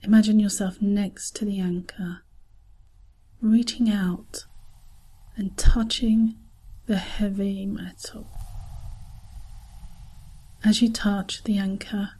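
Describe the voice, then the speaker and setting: A woman narrating a scripted guided visualisation in a calm, soothing, soft voice with breathy edges and long pauses. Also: medium pitch, low-quality recording.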